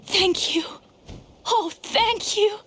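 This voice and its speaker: A woman voicing an excitable, insecure young female flyer in training in a video game, with a youthful, earnest delivery. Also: very high-pitched, extremely slow, slight background noise, full character voice. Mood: overjoyed. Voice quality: youthful, girlish.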